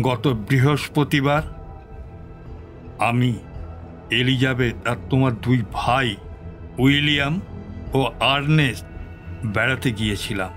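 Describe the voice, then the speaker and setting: An actor playing a heartbroken father reading out a sorrowful letter in a solemn, mournful audio drama style, with pauses. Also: medium pitch, lightly acted. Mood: grave, anxious. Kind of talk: Bengali audio drama acting.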